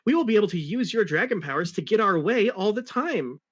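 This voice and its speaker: A man's voice: high voice, expressive, fairly quick, pitched above the performer's own voice. A man voicing an eager, gushing young cartoon fox sidekick in a dramatic reading. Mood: gushing excitement. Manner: gushing, exclaiming.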